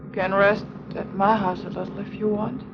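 A woman's voice: little pitch movement, moderate pace, vocal fry, natural voice. An actress playing a cool, duplicitous young female spy, composed and slightly formal, in an early 1950s TV drama. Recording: muffled, noisy vintage TV soundtrack, vehicle rumble.

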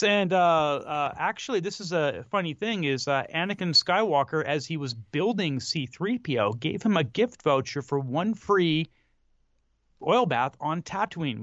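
Higher-voiced man, halting casual talker. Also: fairly bright tone, dry recording, muffled recording. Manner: halting, searching for words. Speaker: podcast co-host. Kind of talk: casual film discussion.

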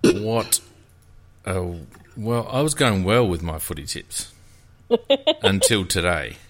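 A man with an Australian accent hosting a casual sports chat show, relaxed and chatty. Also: low-pitched, takes it slowly. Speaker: podcast host.

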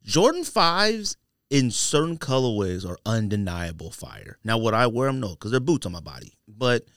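An American man talks like a podcast co-host in loose, slangy banter. His pitch moves around a lot as he pushes his opinions emphatically. The studio sound is close and dry. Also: very animated, normal speed, a bit bright, dry recording. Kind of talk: casual sneaker podcast banter.

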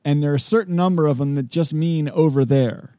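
Male host, casual interview chat, mellow timbre, dry studio-like sound, a little self-deprecating. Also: a little high, brisk, dry recording. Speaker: podcast host.

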